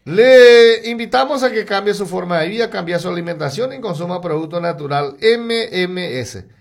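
A man with a Paraguayan accent who drops into Guarani, reading local ads on the radio in a rapid sing-song, listing goods and phone numbers. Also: lively intonation, creaky. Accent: Paraguayan Spanish, mixing in Guarani. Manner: rapid, sing-song pitch and loudness swings, listing items.

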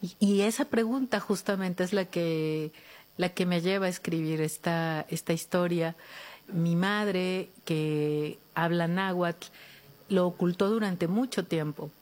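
A Mexican woman author in an interview, speaking reflectively with pauses and restarts, recorded in a museum. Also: fairly low pitch, a little mellow. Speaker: author guest. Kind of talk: thoughtful interview conversation. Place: art museum in Madrid.

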